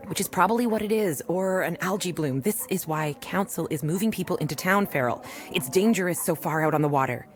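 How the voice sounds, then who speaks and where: An actress playing a weary, dry, no-nonsense rural doctor in an audio drama. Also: female voice, on the low side, a little fast, few pauses, close to an everyday voice. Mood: dry, firm. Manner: dry, deadpan. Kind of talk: audio drama acting with recorded diary narration.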